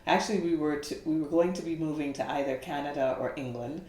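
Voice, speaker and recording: A woman's voice: low voice, fast, mellow, reverberant. A woman from the Bahamas, a guest in a casual interview, with a faint Bahamian lilt, telling her story with many fillers and laughs. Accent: faint Bahamian lilt in speech pattern.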